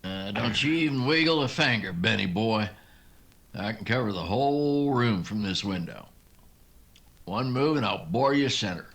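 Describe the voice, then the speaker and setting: A man playing a folksy, plucky cowboy sidekick in a western radio drama, with drawn-out frontier talk. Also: on the low side, expressive, a bit bright. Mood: threatening.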